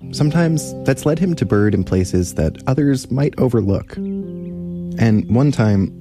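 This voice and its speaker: A man hosting a short radio program, reading a scripted introduction in a calm, even delivery. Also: on the low side. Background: guitar music.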